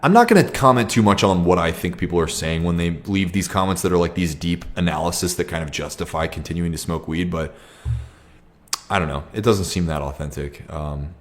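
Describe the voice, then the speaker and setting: Young guy with a real low voice, chatting slow and thoughtful on a livestream, lots of ums. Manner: reflective, halting with frequent ums and restarts. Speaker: livestream host. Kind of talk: casual livestream Q&A, reading comments.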